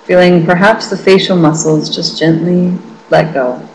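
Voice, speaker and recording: A woman with a slightly low voice teaches guided meditation. She is gentle and permissive, letting her phrases linger and offering each instruction as a soft, reassuring invitation. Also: fairly even intonation, a little slow, a little hiss or hum, poor audio. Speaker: meditation teacher leading a small group.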